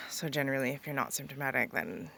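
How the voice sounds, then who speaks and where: Gravelly-voiced young woman vlogging outdoors. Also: extremely low pitch, lively intonation, brisk, fairly bright tone. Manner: rambling, many ums and likes. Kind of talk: casual vlog talk. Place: rural property outdoors, birds around. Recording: noisy outdoor recording.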